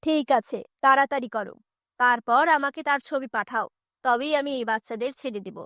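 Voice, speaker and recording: A woman's voice: high voice, brisk, bright, forceful tone, dry recording, clean studio sound, voice pushed down. Woman doing a menacing male cartoon kidnapper. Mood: menacing demands. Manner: threatening, curt. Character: menacing adult male kidnapper, bullying and greedy. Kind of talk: children's cartoon villain.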